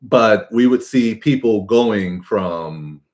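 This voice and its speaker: A man's voice: fairly low pitch, animated, slow pace, darkish tone. An American man co-hosting a live travel stream, casually explaining what local life is like.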